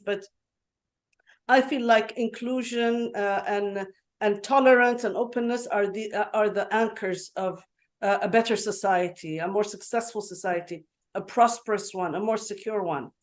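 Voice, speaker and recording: A woman speaking as a guest on an online conference call, earnest and halting, with many fillers and restarts. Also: mid-range voice. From Yemen. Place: home in Dubai. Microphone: video call.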